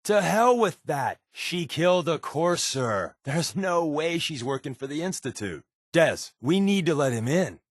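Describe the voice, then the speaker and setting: An actor playing a wisecracking, sardonic undercover resistance agent in a video game, with a glib, joking conversational delivery. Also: male voice, on the high side, big pitch swings, measured pace, few pauses, no room sound, studio quality. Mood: insistent, urgent. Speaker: video game companion character.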